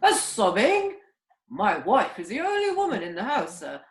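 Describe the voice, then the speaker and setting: A servile, shifty butler acted by a woman speaks with formal, deadpan hesitation in a comic play. The pitch is fairly high and swings widely, over a roomy internet call recording. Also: moderate pace. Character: male butler Barrymore, shifty, deferential, deadpan. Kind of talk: comic amateur radio play reading.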